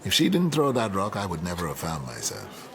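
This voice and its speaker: Reflective older mentor, low-pitched, varied intonation, eloquent, wry, radio drama. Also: male voice, wildly swooping pitch, fairly quick, fairly bright tone, heavily acted. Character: reflective older male academic mentor nearing retirement. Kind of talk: naturalistic radio drama dialogue.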